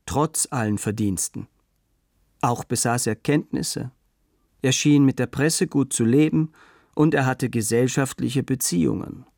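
A man's voice: medium pitch, darkish tone, no room sound, clean studio sound. A man reading scripted literary narration for a radio play.

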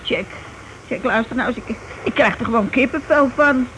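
A woman's voice: a little high, moderate pace, fairly bright tone, lightly acted. A woman playing a timid, uneasy wife in a radio play, with a hesitant, stammering, anxious way of talking. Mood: frightened, jittery. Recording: noisy old radio drama recording.

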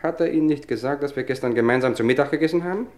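Casting: male actor for a smug, cultivated poisoner, suave and mocking, measured delivery, vintage radio crime play. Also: mid-range voice, darkish tone, natural voice. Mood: coolly boastful. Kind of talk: vintage German radio crime drama acting.

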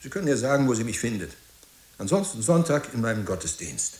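A male actor plays a weary pastor in a radio drama, guarded and brusque. His medium-pitched voice moves widely up and down as he questions and deflects. The room around him audibly echoes. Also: big pitch swings, quick pace, darkish tone, lightly acted. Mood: firm, dismissive. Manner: curt, brusque, questioning, hesitant at times. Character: weary, guarded pastor living alone, gruff and defensive.

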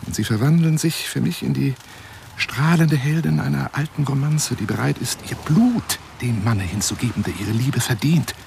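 An actor playing an eccentric, lovelorn and wounded lion hunter in a radio drama monologue, speaking on the telephone in a theatrical, florid, self-pitying manner. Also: male voice, mid-range voice, normal speed, vocal fry, a little mellow, a little hiss or hum, close to an everyday voice. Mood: scornful then adoring.

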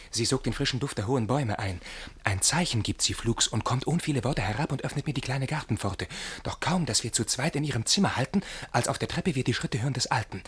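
An eager, naive young lover in a classic radio play in verse. His medium-pitched voice is very breathy and husky as he confides his exploits with boastful excitement.

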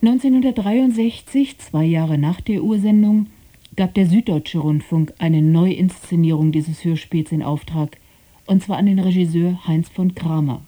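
A woman reading a scripted introduction to a radio play as its presenter, in a measured, formal manner. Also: fairly low pitch, warm tone. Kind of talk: scripted narration.

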